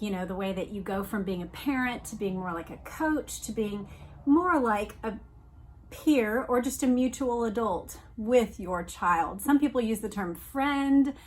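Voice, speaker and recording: A middle-aged woman hosting a podcast, speaking in a measured, deliberate way as she reads aloud and reflects. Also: medium pitch. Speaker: podcast host reading a book aloud and commenting. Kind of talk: reflective solo podcast talk mixed with read-aloud passages.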